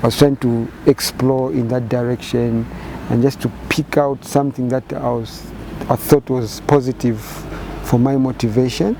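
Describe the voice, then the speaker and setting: A man in his fifties with a Zambian accent, answering interview questions in measured, halting phrases with many repeated words. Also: medium pitch, steady tone, creaky, background noise. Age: 50s. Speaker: painter and photographer interviewee.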